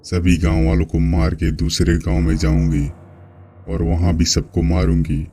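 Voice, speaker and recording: A man voicing a menacing, gloating female witch who drinks blood, in a horror cartoon, speaking in slow threats. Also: deep voice, level tone, dark tone, a little hiss or hum, natural voice. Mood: gloating menace. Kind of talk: Hindi horror cartoon voice acting.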